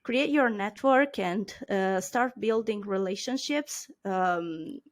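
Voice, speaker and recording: Italian woman on a video call, lots of uh and like, middle pitch, easygoing guest chat. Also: takes it slowly. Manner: hesitant, many fillers, laughs often. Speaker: marketing consultant, guest. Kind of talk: casual interview chat.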